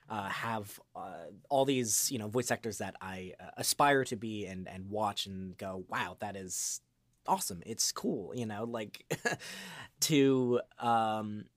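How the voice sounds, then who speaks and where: A man's voice: fairly low pitch, no echo. A young man speaking as a guest voice actor in a casual interview, with a hesitant, rambling delivery.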